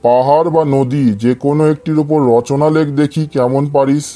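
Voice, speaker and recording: A man's voice: a little high, steady tone, moderate pace, over music, close to an everyday voice. A man playing an exasperated, scolding schoolteacher in a comedy cartoon. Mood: stern questioning. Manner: scolding, threatening, theatrical. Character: exasperated male village schoolteacher scolding cheeky pupils. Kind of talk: comedy cartoon dialogue in Bengali.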